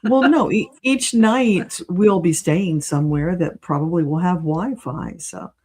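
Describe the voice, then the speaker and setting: A woman talks as an interview guest in an easygoing, conversational way. Her voice sits a little low for a woman and has a very soft, mellow tone. She sounds like someone chatting informally. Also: measured pace, breathy edge. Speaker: guest, spiritual channeler and reader.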